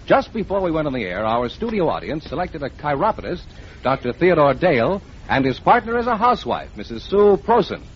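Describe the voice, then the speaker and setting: Male radio quiz announcer, mid-century style, high-pitched and very lively, on a muffled old broadcast. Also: fairly quick, slight background noise. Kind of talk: 1940s-50s radio announcing. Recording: muffled vintage radio recording.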